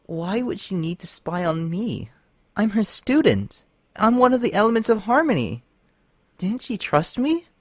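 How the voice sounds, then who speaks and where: A man acts out a young female unicorn in a storybook tale, paranoid and intense. The voice is lifted high and moves dramatically, full of demanding emotion, in a dry, close recording. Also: sing-song, unhurried, no echo, full character voice. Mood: hurt, disbelieving. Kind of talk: dramatic audiobook character reading.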